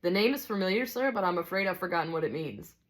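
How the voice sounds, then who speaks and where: A woman's voice: lowish voice, expressive, fast talker, voice pushed up, close to an everyday voice, low-quality recording. A woman voicing an earnest, deferential man who puts polite, eager questions in a storybook reading. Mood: puzzled, curious. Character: earnest, deferential male narrator questioning his teacher.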